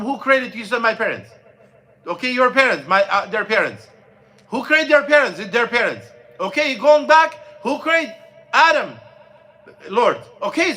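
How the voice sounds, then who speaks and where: High bright-voiced man teaching a class. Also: rough recording. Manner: plain, repetitive explaining with restarts, asks the class questions. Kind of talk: informal religious lesson. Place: mosque classroom.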